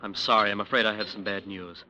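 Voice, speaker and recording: An actor playing a smooth-talking, defensive lawyer in a 1940s radio drama. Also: male voice, fairly low pitch, level tone, fast talker, pushed and bright. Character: defensive, self-justifying male lawyer.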